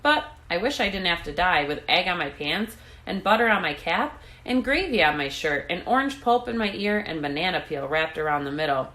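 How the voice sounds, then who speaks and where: A woman's voice: fairly low pitch, animated, brisk, pushed and bright. A woman voicing a small, earnest male mouse in a storybook, plucky but mournful, speaking politely and plaintively. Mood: resigned.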